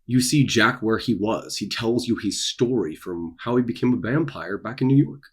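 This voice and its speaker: A young adult man with a husky voice, talking casually to his audience as an author and creator, with theatrical emphasis and dramatic pauses. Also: mid-range voice. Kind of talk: casual promotional vlog, dramatic delivery. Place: small dark room indoors.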